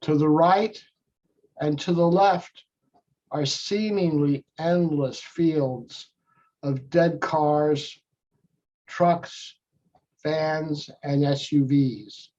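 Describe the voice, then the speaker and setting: Guy reading a guided meditation real slow, lots of pauses, kinda muffled lo-fi sound. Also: a little high, a little mellow. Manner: measured, short phrases with long pauses. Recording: dull lo-fi audio.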